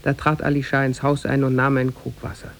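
A man narrating a scripted tale for 1950s German radio in a measured, formal storytelling manner, with a gravelly, husky voice. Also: mid-range voice, completely flat delivery, a little hiss or hum.

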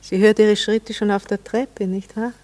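An actress playing a wistful, curious, well-bred married lady in a radio play, with a husky, breathy voice and a hesitant, probing manner. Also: medium pitch, level tone, normal speed, darkish tone, natural voice. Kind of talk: intimate radio play dialogue.

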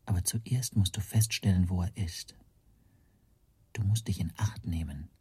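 Husky, hushed, brooding male narrator. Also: low-pitched, a little slow, no echo, full character voice. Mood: urgent self-urging. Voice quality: husky, breathy, often near whisper. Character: anxious, self-doubting man narrating his own troubled story. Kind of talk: first-person radio play narration.

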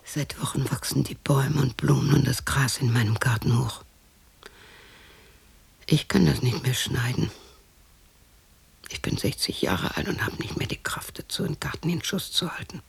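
Creaky, whispery older widow. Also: female voice, very deep voice, moderate pace, long pauses, full character voice. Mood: weary, complaining. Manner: murmured self-talk, swinging between cooing and scolding. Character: lonely sixty-year-old widow talking to herself, grumbling and tender. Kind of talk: radio play monologue, intimate acting.

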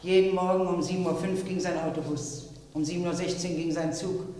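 An actress playing a stern, suspicious, fussy middle-aged housewife in an old radio crime drama, curt and insistent. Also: female voice, on the low side, level tone, a little fast, warm tone, recorded from a distance, slight background noise, lightly acted. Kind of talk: 1950s-80s German radio crime drama acting. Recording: very echoey, reverberant old radio play.

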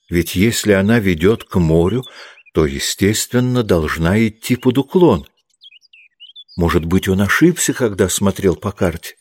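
A man reading literary prose as a scripted audiobook narration. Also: lowish voice.

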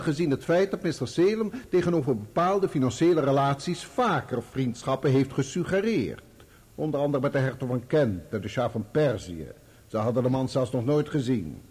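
A radio play barrister with a dark, fairly high voice. He questions formally and insistently, swinging his intonation widely to make each pointed, sardonic remark land. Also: animated, normal speed, husky. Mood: probing, formal. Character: sharp male barrister cross-examining a witness, sardonic and insistent. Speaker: actor playing a lawyer. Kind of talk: Dutch radio play courtroom acting.

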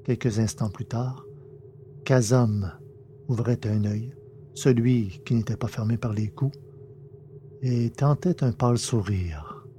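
A man reads out an adventure story in a measured, dramatic storytelling manner. His voice is dark and mellow at a middle pitch. A faint hiss sits under the recording. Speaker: narrator. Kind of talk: scripted audiobook narration.

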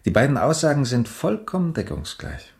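An actor playing a calm, methodical police major in a radio drama, measured and matter-of-fact with a dry weariness. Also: male voice, mid-range voice, vocal fry. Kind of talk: 1980s German radio drama acting.

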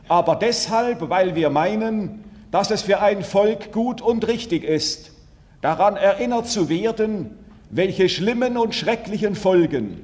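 A man giving a formal ceremonial speech in a solemn, measured, declamatory manner as a veterans' representative. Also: high voice, darkish tone. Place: large hall at an award ceremony. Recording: echoey hall, archival public-address speech.

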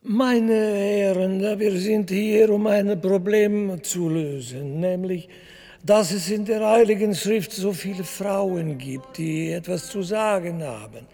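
An actor playing a pompous, scheming cardinal who declaims ponderously in a satirical radio play. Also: male voice, high voice, slow pace, mellow, full character voice. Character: pompous scheming male cardinal chairing a church council. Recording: long church-like reverb, faint music.

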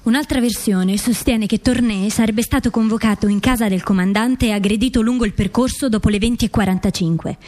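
A woman playing a serious, sober investigative narrator in a scripted radio drama, with measured delivery. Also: a little high, a little mellow, natural voice. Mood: grave, factual.

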